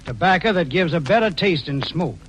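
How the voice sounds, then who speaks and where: A man's voice: a little high, animated, a little fast, fairly bright tone, faint music behind, low-quality recording. A man delivering a scripted testimonial in a 1940s radio commercial.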